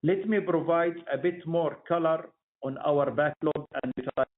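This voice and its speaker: A man giving a formal, scripted corporate earnings presentation in measured delivery over a conference phone line. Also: on the high side, slight background noise. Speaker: company executive presenter. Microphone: phone or conference call line.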